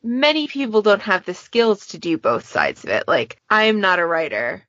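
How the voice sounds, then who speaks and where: A woman's voice: mid-range voice, sing-song, moderate pace, fairly bright tone, no room sound. A woman chatting casually and informally on a podcast, with frequent conversational asides. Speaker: podcast participant, fan event moderator. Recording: dull, lo-fi dry voice recording.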